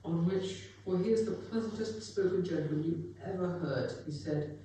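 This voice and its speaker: A young man playing a humble, loving, grieving father with a halting, tender delivery in a dramatic reading. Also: sits high, warm tone, close to an everyday voice. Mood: wistful, warm. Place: live performance in a reverberant museum room. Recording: very echoey hall, distant mic, faint noise.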